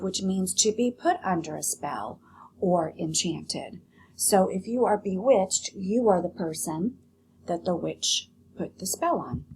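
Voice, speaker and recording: Slow-paced woman teacher reading aloud. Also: medium pitch, darkish tone, slight background noise, rough recording. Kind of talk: read-aloud lesson, explaining and narrating a children's story.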